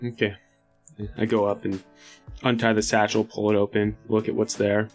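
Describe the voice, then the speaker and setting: A man's voice: on the low side, completely flat delivery, normal speed. A man playing a tabletop game, talking through his character's moves in a casual, conversational way. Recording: music behind. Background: background music.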